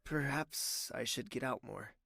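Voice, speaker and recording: A man's voice: mid-range voice, measured pace, gravelly, sharp tone, no room sound, clean studio sound, full character voice. A man playing a tender, secretive young prince with a formal, hesitant way of speaking in a romantic audio drama. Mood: wistful. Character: soft-spoken young prince in love, secretive and wistful. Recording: music under the voice.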